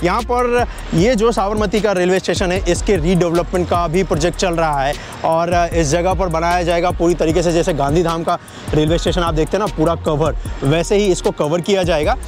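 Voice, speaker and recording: A man's voice: sits high, fairly even intonation, brisk, soft background music. A man giving a chatty walking tour outdoors beside railway tracks, pointing things out and talking to his viewers as friends. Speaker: vlogger showing construction sites. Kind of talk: casual walking tour commentary.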